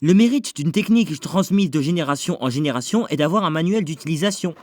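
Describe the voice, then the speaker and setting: A man's voice: a little high, animated, quick pace, a little mellow, dry recording, studio quality, natural voice. A man playing a cocky, euphoric young sorcerer in an anime fan dub, with a theatrical, self-satisfied delivery. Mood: calm, explanatory.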